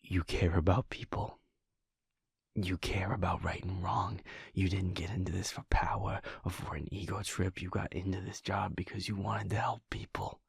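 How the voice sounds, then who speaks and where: A man voicing a caring male werewolf boyfriend in an audio roleplay, talking softly and earnestly, often half-whispered. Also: deep voice, steady tone, moderate pace, creaky, slightly rough, no echo, clean studio sound, exaggerated voice. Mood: tender, worried.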